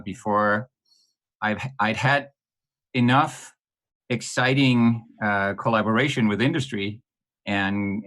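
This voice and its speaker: Low-voiced Danish man, halting speech. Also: a bit bright. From Denmark. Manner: hesitant, many ums and restarts, laughs lightly. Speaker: guest. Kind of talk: podcast interview.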